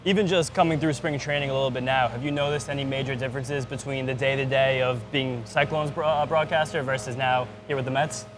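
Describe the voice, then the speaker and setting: A man's voice: medium pitch, fairly even intonation, fast talker, hardly any pauses, music in the background. A man hosting a podcast interview on location, putting questions in an upbeat conversational style. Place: spring training ballpark. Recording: faint background ambience noise.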